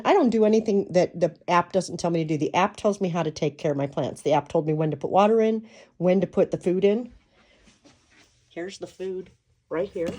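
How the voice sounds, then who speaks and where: Stuffy-nosed chatty woman, mellow voice. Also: medium pitch. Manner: chatty and enthusiastic, with fillers. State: stuffed up with a head cold. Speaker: vlogger. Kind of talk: casual home video walkthrough. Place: small apartment living room. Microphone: handheld phone camera, off camera.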